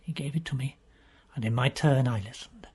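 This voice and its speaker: A man narrating a mystery story as an audiobook reader, in a theatrical, drawn-out way with hushed passages and a husky, breathy voice. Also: medium pitch.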